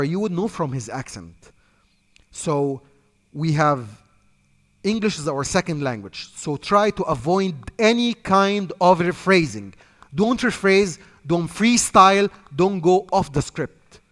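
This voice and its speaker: A man's voice: fairly high pitch, a bit bright. Egyptian-accented man coaching in a reverberant hall. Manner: repetitive, emphatic coaching, talks off the cuff. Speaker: sales trainer. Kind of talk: instructional talk to trainees.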